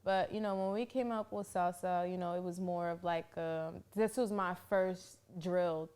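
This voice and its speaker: A woman's voice: on the low side, fairly even intonation, unhurried, a little mellow, clear, resonant tone. A young woman from Jersey City, New Jersey, guesting in a casual interview and talking loosely with lots of fillers and repeated phrases. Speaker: guest, rapper.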